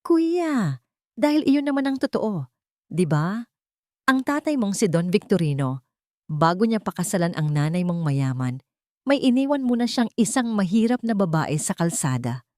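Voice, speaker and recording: A woman's voice: medium pitch, big pitch swings, normal speed, a little mellow, no echo, studio quality, close to an everyday voice. A woman voicing a bitter, vengeful man, a cold and taunting villain in an audio drama. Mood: bitter anger. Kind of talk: melodramatic audio drama acting.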